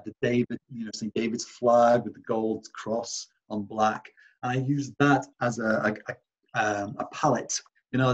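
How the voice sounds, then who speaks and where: Hesitant man, husky and muffled. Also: mid-range voice. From Wales. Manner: hesitant, many restarts and fillers. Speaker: artist. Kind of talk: artist talk over slides. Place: voice-over for a slide presentation.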